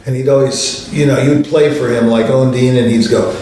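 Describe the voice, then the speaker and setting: An older man, a pianist hosting a show, telling anecdotes in a relaxed, conversational way with many fillers. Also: medium pitch, moderate pace, slight background noise. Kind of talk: storytelling monologue. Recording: distant mic in a very echoey room.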